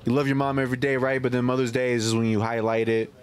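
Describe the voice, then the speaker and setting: A man's voice: mid-range voice, little pitch movement, fast talker. A man co-hosting a podcast outdoors, chatting casually with heavy slang, swearing and repeated phrases. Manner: profane, slangy, repetitive. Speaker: podcast co-host. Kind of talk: casual chat. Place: outdoor backyard. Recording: outdoor recording with crowd chatter.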